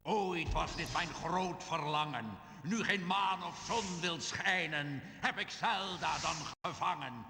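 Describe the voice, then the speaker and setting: A man playing a brooding dark sorcerer villain who declaims gloating rhymes in a video game cutscene. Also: fairly high pitch, heavily acted. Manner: slow, declaiming in verse. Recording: gravelly echoing noisy old game audio.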